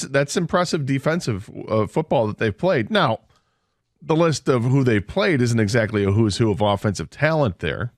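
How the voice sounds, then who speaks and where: A man's voice: fairly low pitch, no echo, clean studio sound. A man co-hosting a sports radio show, breaking down a football game in a casual, analytical way. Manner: analytical, confident picks. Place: radio studio.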